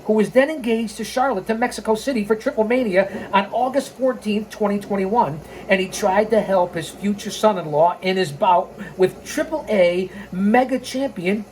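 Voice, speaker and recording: A host of a wrestling storytelling show speaks in a mid-pitched voice over audible background noise. The delivery moves between scripted narration and informal commentary. The manner is opinionated, blunt and sarcastic, with profanity in the asides. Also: a little mellow, low-quality recording. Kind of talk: reading a scripted biography with ranting, informal asides.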